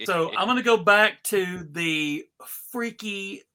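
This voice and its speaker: A man hosting a live script reading, narrating and bantering in a casual, animated way. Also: high-pitched, slow pace, clean tone.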